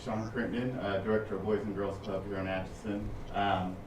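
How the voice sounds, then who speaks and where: A man's voice: on the low side, little pitch movement, a little fast, recorded from a distance. A man who directs a youth club asks a question at a county meeting, hesitant with many fillers. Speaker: boys and girls club director. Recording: echoey room from afar, loud noise.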